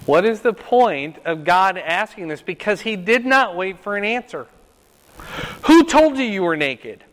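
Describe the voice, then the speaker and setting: An older man with a loud, fairly high voice leads a Bible class, firing questions at his group and repeating them until someone answers. He speaks very slowly and deliberately. The sound carries a long reverb from the room. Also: very animated. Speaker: Bible study teacher. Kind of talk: interactive Bible class, quizzing listeners.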